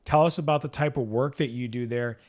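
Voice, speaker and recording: A middle-aged man with a mid-range voice who hosts a podcast and talks in a loose, conversational way. He speaks to his guest casually, with frequent "you know"s and small verbal pauses. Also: medium pitch, darkish tone. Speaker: podcast host, trial lawyer. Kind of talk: podcast interview. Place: podcast studio.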